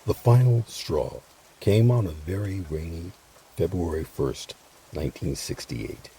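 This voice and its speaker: A man hosting and narrating a scripted history program with deliberate, dramatic phrasing. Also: sits low, animated, dark tone, slight background noise, poor audio. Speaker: host and narrator.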